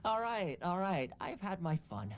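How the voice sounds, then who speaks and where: Easygoing, teasing young man, lively. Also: high voice, measured pace, soft background music. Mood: playful. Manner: teasing, breezy, chuckling. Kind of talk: video game voice acting.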